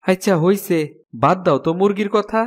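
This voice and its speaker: A man's voice: high voice, normal speed. A man playing a matter-of-fact young village husband in a cartoon. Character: plain-spoken farmer's son, a young husband. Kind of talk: cartoon fairy tale dialogue. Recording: background music under the voice.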